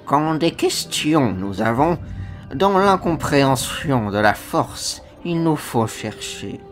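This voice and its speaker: A man voicing an ancient little alien sage with a croaky voice, wise and calm, speaking in halting, inverted phrases in a dramatic comic dub. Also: a little high, faint music behind. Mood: calm, reflective. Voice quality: croaky, gravelly. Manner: inverted word order, halting cryptic phrases.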